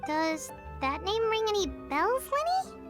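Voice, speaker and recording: Very high, childlike ghost girl. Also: sing-song, measured pace, music in the background, full character voice. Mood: friendly. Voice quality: childlike, sweet. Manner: gentle, polite. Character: friendly ghostly little girl from an orphanage. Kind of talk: video game voice acting.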